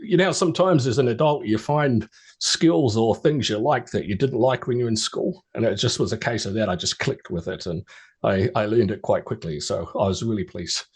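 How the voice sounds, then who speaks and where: A man's voice: fairly low pitch, moderate pace, slightly rough. Chatty middle-aged New man. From New Zealand. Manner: rambling storyteller with restarts and stammers. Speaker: podcast guest. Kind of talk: casual interview chat.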